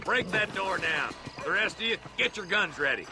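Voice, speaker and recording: High, swooping western posse leader. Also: male voice, expressive, moderate pace. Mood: commanding, fired up. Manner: barking orders. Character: commanding, rough frontier posse leader. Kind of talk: video game western acting.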